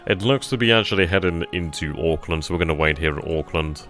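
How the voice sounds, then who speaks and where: A man's voice: deep voice, brisk, fairly bright tone, faint music behind. A man hosting a strategy game stream, thinking aloud over his moves and casually answering chat questions. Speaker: gaming channel host. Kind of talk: live strategy game commentary.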